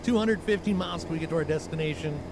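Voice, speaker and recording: A man's voice: fairly high pitch, rapid-fire, background noise. A man hosting a live stream while driving in a truck game, chatting casually with blunt talk and lots of fillers. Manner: rambling, filler-heavy, blunt.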